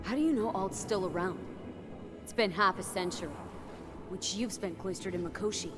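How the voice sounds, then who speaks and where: A woman's voice: medium pitch, moderate pace, lightly acted. An actress playing a tough, wary mercenary woman in a video game, talking in a blunt, clipped, streetwise way. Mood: probing. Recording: game audio with clear background music.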